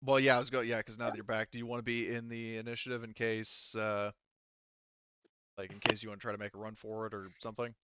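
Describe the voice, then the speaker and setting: A man acting as game master, narrating play and rules casually in a halting, thinking-aloud way. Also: on the low side, level tone, bright, forceful tone, smooth, dull audio.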